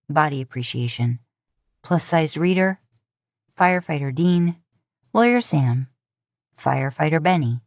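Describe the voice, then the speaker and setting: A woman narrating scripted romantic fiction in a measured, expressive storytelling manner. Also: deep voice, no room sound, clean studio sound. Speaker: podfic narrator.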